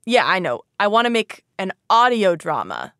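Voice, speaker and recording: A woman hosts an educational podcast with a casual, chatty delivery. She is playful and self-deprecating, sprinkling "like" through her sentences. Her voice has an average pitch and sits in a very dry recording space. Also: huge pitch swings, normal speed, pushed and bright, clear, resonant tone, no echo. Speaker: podcast host.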